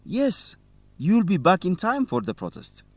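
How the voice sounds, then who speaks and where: A man's voice: high-pitched, huge pitch swings, measured pace, dry recording, heavily acted. A man playing a keen student journalist in a radio drama for English learners. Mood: keen, persuasive. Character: Alamayu, keen male student newsletter writer and protester.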